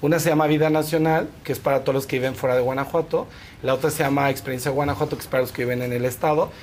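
A man's voice: mid-range voice, steady tone, racing, a little mellow. A Mexican man speaking as an interview guest, chatty and explanatory, often checking for agreement with small tag questions. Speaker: guest, fashion film festival organizer. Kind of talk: casual interview chat. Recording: clear background noise.

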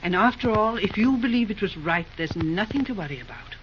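Woman playing a brisk mother, animated pitch. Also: background noise, close to an everyday voice. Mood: advising. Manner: brisk, folksy, no-nonsense. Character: brisk, motherly middle-aged mother of grown son. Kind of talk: old-time radio acting. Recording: muffled old radio recording, crowd-like murmur.

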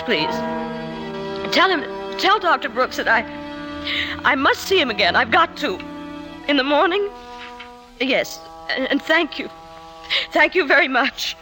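An actress playing a troubled woman confessing painful memories in an emotive, halting way, in 1950s radio drama style. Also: sits high, rough recording. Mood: anxious, pleading. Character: troubled career woman confiding painful childhood memories to her doctor. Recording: 1950s radio broadcast, music behind.

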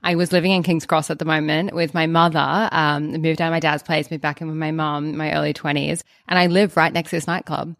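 A woman co-hosting a podcast, telling a personal story in a soft-spoken way. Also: low voice, little pitch movement, a little fast, hardly any pauses, no room sound, studio quality. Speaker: podcast co-host.

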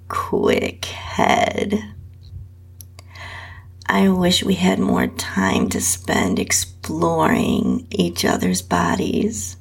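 Female narrator for adult audio fiction: very slow, drawn-out delivery, heavy vocal fry, breathy tone. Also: lowish voice. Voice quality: husky, breathy. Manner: slow, drawn-out reading with whispery dips. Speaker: host and story narrator. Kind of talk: scripted first-person erotic story narration.

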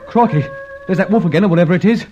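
Old radio serial companion, male actor: simple, jittery working man, colloquial, very animated, muffled noisy sound. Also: on the high side, normal speed, lightly acted. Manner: nervous, colloquial, exclaiming. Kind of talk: old-time radio adventure serial acting.

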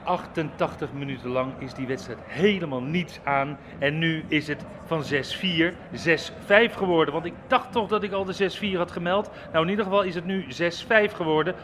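A man giving live, excited and dramatic football radio commentary as a match reporter. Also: on the high side, normal speed. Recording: stadium ambience and noise. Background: football ground ambience.

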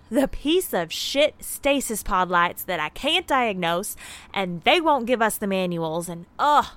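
Casting: scrappy space-base mechanic, mid-pitched voice, goofy, exasperated, chatting to oneself. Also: female voice, a bit bright. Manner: casual, rambling, talking to oneself. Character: scrappy, goofy, exasperated moonbase maintenance worker. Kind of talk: sci-fi audio drama monologue.

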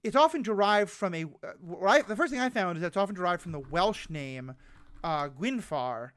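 A man's voice: high-pitched, animated, moderate pace, a bit bright. A man with an American accent, co-hosting a podcast and casually discussing a TV show. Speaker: podcast co-host.

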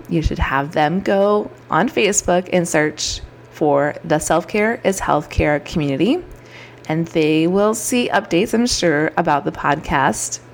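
A woman in her thirties hosting her own podcast, talking in an upbeat, chatty way, her throat a little scratchy and froggy. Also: on the low side. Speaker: podcast host. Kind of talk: solo podcast monologue.

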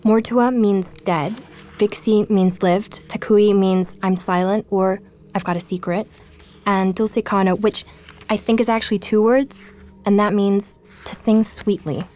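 An actress playing a curious, intent woman journalist who narrates an investigative audio drama in a measured, confiding way. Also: mid-range voice, steady tone, darkish tone, close to an everyday voice. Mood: reflective, intent. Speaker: podcast host and narrator.

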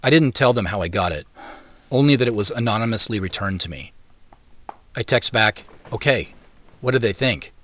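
A man's voice: lowish voice, expressive, normal speed, long pauses, bright, forceful tone. An actor playing a reflective man who narrates his own story in a scripted first-person style. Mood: dry, matter-of-fact. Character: reflective man recounting his dreams and his search. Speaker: narrator and main character of an audio drama. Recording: music playing behind the voice. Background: music.

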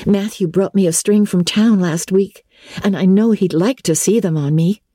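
A woman's voice: mid-range voice, normal speed, mellow, dry recording, clean studio sound, pitched above the performer's own voice, natural voice. A woman voicing an earnest, dreamy teenage girl, affectionate and a little anxious, in a storybook reading. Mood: hopeful. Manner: earnest, wistful.

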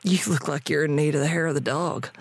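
A woman's voice: low voice, fairly even intonation, fast talker, slightly rough, no room sound. A woman playing a world-weary, plain-spoken radio tinkerer with a folksy frontier manner in an audio drama. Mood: wry.

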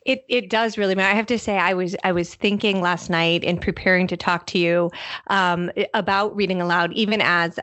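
A woman's voice: lowish voice, a bit bright, dry recording. A middle-aged woman co-hosting a podcast, interviewing in a casual, conversational style.

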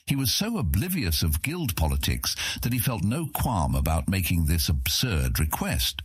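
A man reads a fantasy story as narrator. His voice is low and husky with animated rises and falls, captured close in a dry studio sound. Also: normal speed, no room sound. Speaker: audiobook narrator.

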